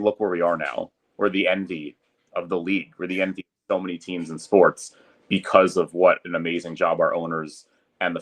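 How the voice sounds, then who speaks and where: This is a young man with a very low voice, talking casually as a guest on a podcast. He speaks eagerly and loosely and keeps padding his sentences with little fillers. His delivery stays relaxed and conversational throughout. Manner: enthusiastic, loose and chatty, leans on fillers like "kind of thing". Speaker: arena public address announcer, guest.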